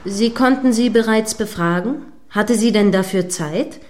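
Radio play casting: actress as prim female news anchor, mid-pitched, earnest, formal and wordy. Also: a little mellow, close to an everyday voice. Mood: inquiring. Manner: formal, measured, wordy phrasing. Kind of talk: satirical radio play as live news broadcast.

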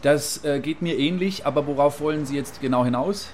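An actor playing a polite, deferential radio reporter at the scene in a radio play, chatty and a little hesitant. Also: male voice, a little high, a little fast, a little mellow, slight background noise, natural voice. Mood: eager reporting. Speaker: field reporter. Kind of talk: mock live radio report, scripted radio play.

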